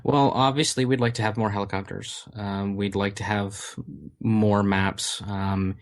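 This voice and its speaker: A man with a lowish voice talks through his answers in a casual interview. He pauses often and stacks up fillers and restarts as he explains. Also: flat delivery. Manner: hesitant, many fillers and restarts. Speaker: game studio community manager.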